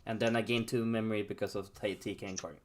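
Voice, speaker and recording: A man's voice: lowish voice, level tone, racing. A man playing a card game with a friend on stream, casual and self-deprecating. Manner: self-deprecating, reacts with groans and laughs. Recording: noisy stream recording.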